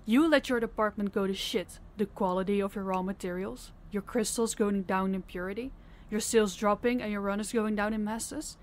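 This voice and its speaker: A woman's voice: mid-range voice, steady tone, normal speed, close to an everyday voice. A woman playing a stern, fed-up crime boss in an audio roleplay, commanding and blunt. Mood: fed up, accusing. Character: stern, fed-up female crime boss scolding her subordinate.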